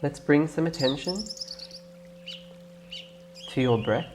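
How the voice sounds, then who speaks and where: A man leading a guided meditation in a gentle, instructing way, leaving long pauses between phrases. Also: fairly high pitch, animated, background noise. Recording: clear birdsong and nature ambience behind. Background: birdsong, nature ambience.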